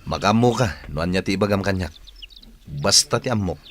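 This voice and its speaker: A man's voice: sits low, lively intonation, a little fast, a bit bright, slight background noise. A man playing a proud, ardent suitor in an Ilocano-accented radio drama. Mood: bossy, rivalrous. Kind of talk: Philippine radio drama acting. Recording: music playing behind the voice. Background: music.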